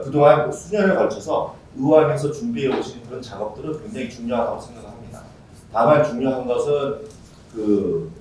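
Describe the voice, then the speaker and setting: A middle-aged man giving formal discussion remarks at a conference, earnest and measured, with many fillers and restarts. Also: medium pitch, a little mellow. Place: large conference hall. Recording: very echoey hall, voice from far off, faint room hum.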